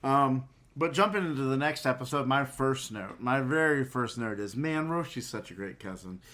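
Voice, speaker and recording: A man co-hosting a fan podcast, talking casually and rambling with lots of fillers and quick laughs. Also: mid-range voice, animated, fairly bright tone. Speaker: podcast co-host.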